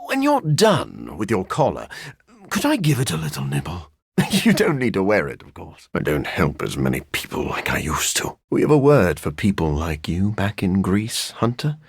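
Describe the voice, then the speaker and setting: An actor voicing a brooding, dryly witty gentleman vampire scientist in a video game, with a formal, measured, theatrical delivery. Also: deep voice, huge pitch swings, husky, dry recording, studio quality, full character voice. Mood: earnest, wistful. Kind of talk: scripted video game character lines.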